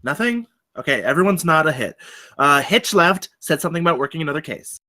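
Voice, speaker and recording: Earnest, nervous rookie guard, read by a man: bright, slightly high, animated table read. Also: brisk. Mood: earnest, awkward. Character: earnest, anxious young undercover campus security guard. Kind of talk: live screenplay table read.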